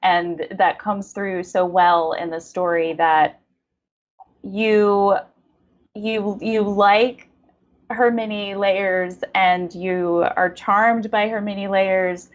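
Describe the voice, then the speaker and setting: A woman's voice: mid-range voice, little pitch movement, slow pace. Effusive woman interviewer, slightly dull audio. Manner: effusive, affirming. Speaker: podcast interviewer. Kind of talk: casual literary interview.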